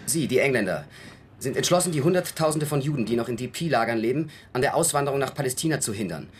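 An actor playing a frank young American army lieutenant in a radio drama, deferential and matter-of-fact. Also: male voice, medium pitch, lively intonation, quick pace, a little hiss or hum, close to an everyday voice. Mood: frank, guarded. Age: young adult.

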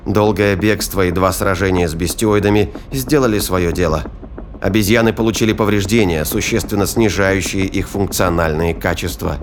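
A man's voice: sits low, normal speed, a little hiss or hum. A man delivering scripted dramatic narration for a science fiction audio play. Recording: prominent music and battle effects behind.

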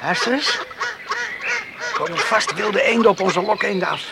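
A jealous, lovestruck country lad in a radio drama. His high voice jumps around with boyish, insistent pleading. The recording is clearly noisy, with birds and open-air ambience. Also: huge pitch swings, moderate pace, exaggerated voice. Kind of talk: Dutch radio drama acting.

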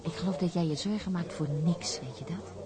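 A woman's voice: on the low side, fairly quick, crowd noise, close to an everyday voice. A woman playing a tender, reassuring sailor's sweetheart in a Dutch radio drama, speaking soothingly. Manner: soothing, affectionate.

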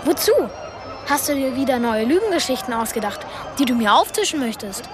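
Woman as highish-voiced, dismissive teenage boy. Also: animated, brisk, over music. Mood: scornful. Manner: curt, sarcastic. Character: young teenage boy, sceptical, hurt and dismissive. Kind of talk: radio play acting.

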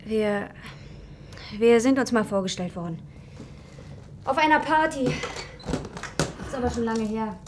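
A woman's voice: on the high side, slow pace, pauses often, slight background noise. An actress playing a young mother, polite and resolute under worry, in a naturalistic radio drama. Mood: worried. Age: young adult.

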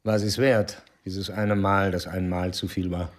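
An actor playing a worldly, money-minded fixer in a German radio drama, casual and self-assured. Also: male voice, deep voice, flat delivery, unhurried. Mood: probing.

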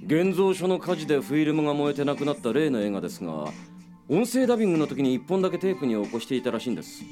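An actor playing a gruff police detective who reports bluntly and briskly in a radio drama. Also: male voice, fairly high pitch, big pitch swings, over music.

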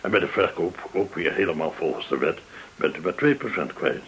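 An actor in a radio drama playing a courteous, smooth-talking gold dealer, effusively polite and theatrical in manner. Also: male voice, deep voice, big pitch swings, fairly quick. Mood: smooth, explanatory. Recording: hissy old radio drama recording.

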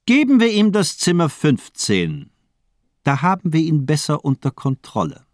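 A man's voice: on the high side, huge pitch swings, normal speed, dry recording, clean studio sound. A man playing a coolly mocking, menacing doctor in a German radio drama, speaking with polite, articulate condescension. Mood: brisk, jovial. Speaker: actor in a crime radio play.

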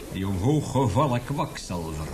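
An actor in a radio drama playing an embittered, passionate male professor who lectures emphatically and indignantly. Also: mid-range voice.

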